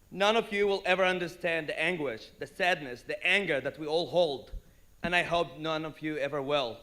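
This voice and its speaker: High, bright-voiced man giving a defiant speech. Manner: defiant, emphatic, repeats lines for force. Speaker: rally speaker. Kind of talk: impassioned personal speech.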